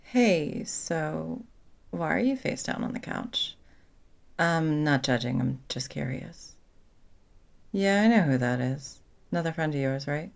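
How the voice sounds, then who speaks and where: Woman acting a low, creaky-voiced protective best friend. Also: lively intonation, unhurried, exaggerated voice. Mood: casual, curious. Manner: casual, supportive, blunt, sweary. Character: caring, protective young woman best friend, pre-med psych student. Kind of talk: ASMR comfort roleplay, conversational.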